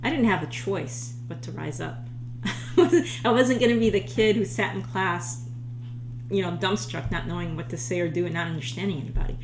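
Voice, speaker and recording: A woman in her thirties, Israeli-born, telling a casual story to camera in a chatty, animated way with frequent laughs. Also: lowish voice, moderate pace. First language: Hebrew. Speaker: online marketing coach. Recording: echoey room with steady hum.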